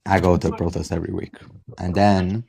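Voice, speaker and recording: A man's voice: deep voice, little pitch movement, normal speed, creaky, dark tone. A man insistently explaining his views as a guest in an online debate. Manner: insistent, repeats himself.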